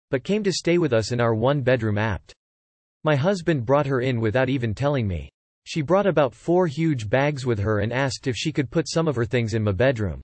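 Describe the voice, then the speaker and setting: A man's voice: medium pitch, darkish tone, no room sound. Man reading posts in a dry, close voice. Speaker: narrator reading online posts. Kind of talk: flat scripted reading.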